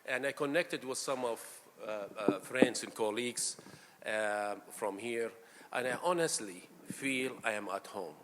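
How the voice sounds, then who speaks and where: Middle-aged male doctor from Iraq, halting conference speaker, mic in a reverberant hall with faint hum. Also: mid-range voice. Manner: halting, frequent fillers, warm and gracious. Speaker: pain physician giving a conference talk. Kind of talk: conference lecture. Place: large lecture hall.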